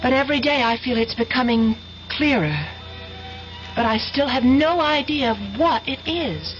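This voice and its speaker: An actress playing an earnest, haunted young college student in a 1970s-style radio drama, hesitant and breathless with trailing pauses. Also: female voice, high-pitched, faint music behind, lightly acted. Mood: anxious, obsessed. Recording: muffled old radio broadcast recording.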